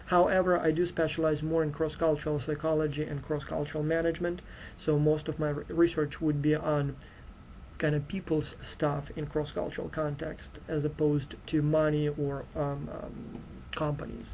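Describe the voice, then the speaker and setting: Male university lecturer, Ukrainian accent, slightly higher voice, flat delivery, mellow tone. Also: moderate pace, creaky, slight background noise, poor audio. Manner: earnest, direct, frequent fillers like uh and you know. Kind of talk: recorded course introduction lecture.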